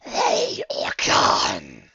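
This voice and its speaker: Slow hissing whispery dark lord. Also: male voice, very high voice, wildly swooping pitch, creaky, dry recording, dull audio, pitched above the performer's own voice, full character voice. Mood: cold disappointment. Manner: slow, drawn-out, imperious. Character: sinister disembodied dark lord, hissing, condescending, menacing. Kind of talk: audiobook fantasy villain.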